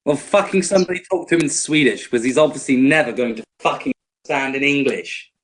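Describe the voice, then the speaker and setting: A man's voice: mid-range voice, quick pace. A man in an online voice-chat argument, talking in an exasperated, mocking, foul-mouthed way. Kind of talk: heated group voice-chat argument. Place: online voice call.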